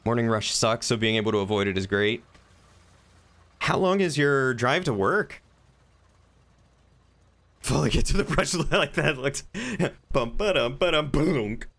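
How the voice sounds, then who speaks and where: A man's voice: mid-range voice, animated, measured pace, long pauses, fairly bright tone. A man chatting casually as he streams game roleplay, rambling and thinking aloud. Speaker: livestreamer.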